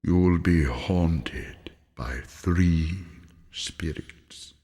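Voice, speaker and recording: A man voicing a solemn, mournful male ghost who gives grave warnings in a breathy, hushed voice. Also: deep voice, drawn-out words.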